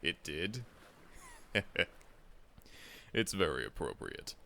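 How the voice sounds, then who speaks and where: A man's voice: low voice, very animated, slow, gravelly, sharp tone, full character voice. A man voicing a seasoned, confident monster hunter who acts as a friendly mentor, reassuring the listener, calling them kid and chuckling often in an ASMR audio roleplay. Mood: encouraging.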